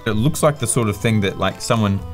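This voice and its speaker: A man's voice: on the low side, fairly quick. A young man hosting a comedy gadget test, joking casually with sardonic, sweary remarks. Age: young adult. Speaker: host of a gadget-testing comedy show. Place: small indoor studio, at a table. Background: music.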